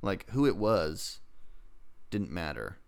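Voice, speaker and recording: Deep, clear-voiced man, argumentative podcast co-host. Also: sing-song, slow. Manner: argumentative, sarcastic. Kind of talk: casual TV review banter.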